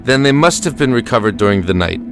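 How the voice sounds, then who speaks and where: An actor playing a tired but steady American army lieutenant in a war audio drama, with measured, reassuring authority. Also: male voice, medium pitch, faint music behind, lightly acted. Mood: steady, weary.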